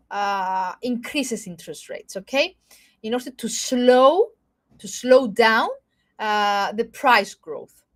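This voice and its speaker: A woman with a Greek Cypriot accent talks through market moves for a live audience, keeping her voice at a middle pitch. She stumbles and restarts often, filling pauses with "uh" and "um". She keeps checking in with "okay?" as she explains. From Cyprus. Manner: halting, many fillers and restarts. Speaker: market analyst.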